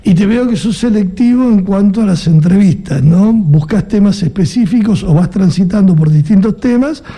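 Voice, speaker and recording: Middle-aged Argentine male interviewer, husky and gravelly, fairly high-pitched, musing conversational style. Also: expressive, normal speed, few pauses, mellow. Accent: Argentine Spanish. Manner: chatty, musing, tags questions with "¿no?".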